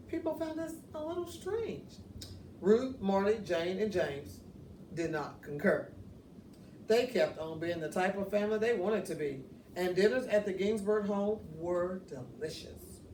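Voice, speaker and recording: A woman reads a children's picture book aloud in an expressive, deliberate storytelling manner. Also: lowish voice. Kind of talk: children's read-aloud narration. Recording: roomy, distant mic, faint noise.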